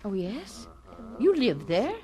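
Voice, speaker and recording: A woman's voice: mid-range voice, huge pitch swings, slow pace, a little mellow. A woman playing a kindly, easily flustered schoolteacher in a radio drama, speaking in a fluttery, hesitant way. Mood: firm, then gentle.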